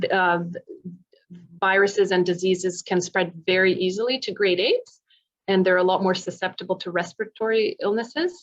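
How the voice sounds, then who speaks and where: A woman's voice: medium pitch, muffled recording. A woman from Poland giving an informal online presentation and answering questions, talking in a chatty, explanatory way as a singer and vocal coach. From born in Poland. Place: online video meeting.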